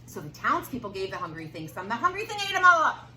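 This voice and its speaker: Animated woman reading aloud in a roomy space. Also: mid-range voice, fast talker. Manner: animated, prompting the children to answer. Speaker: teacher reading a story aloud to children. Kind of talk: expressive storybook read-aloud. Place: classroom, recorded from some distance. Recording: roomy, distant, noisy classroom recording.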